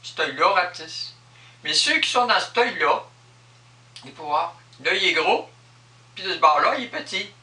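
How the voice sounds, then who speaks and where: Echoey, sharp-voiced man with Quebec French accent. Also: high voice, lively intonation, moderate pace, long pauses. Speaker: vlogger explaining personal spiritual beliefs. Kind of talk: improvised explanatory monologue. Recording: echoey room, distant microphone.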